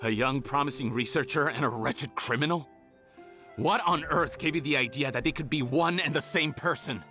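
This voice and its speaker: An actor playing a bitter, defiant villain researcher in a video game, with a sneering, theatrical manner. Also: male voice, mid-range voice, normal speed, pushed and bright, soft background music, close to an everyday voice. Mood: scornful. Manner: sneering, theatrical, rhetorical questioning. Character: bitter male villain researcher hiding a wretched identity, defiant.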